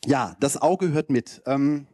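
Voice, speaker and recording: Guy doing a casual rambly keynote, normal pitch, handheld mic, pretty dry sound. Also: takes it slowly, dark tone, dry recording. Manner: casual, loose, rambling delivery. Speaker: conference keynote speaker. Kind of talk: informal slide presentation to an audience. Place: lecture hall stage with audience. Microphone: handheld microphone held close.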